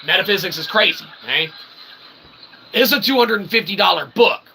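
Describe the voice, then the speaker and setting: A man's voice: sits high, animated, fairly quick, gravelly, pushed and bright, a little hiss or hum. A man delivering a casual, indignant rant to viewers as an independent author and host, emphatic and sweary, with wordy mock-formal phrasing and repeated tag questions. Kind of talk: casual ranting monologue to viewers.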